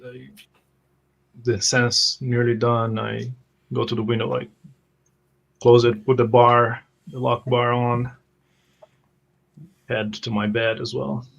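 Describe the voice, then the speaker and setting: A man describes his character's actions in a tabletop roleplaying game. His voice has a lot of fry and moves slowly, in halting phrases. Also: medium pitch, flat delivery, muffled recording.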